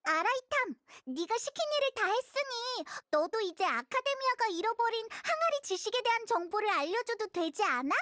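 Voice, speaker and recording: A woman voicing a tiny childlike fairy companion in a video game, curious, chatty and excitable. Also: extremely high pitch, normal speed, few pauses, no room sound, clean studio sound, full character voice. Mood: eager, curious. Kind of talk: scripted game dialogue, Korean voice acting.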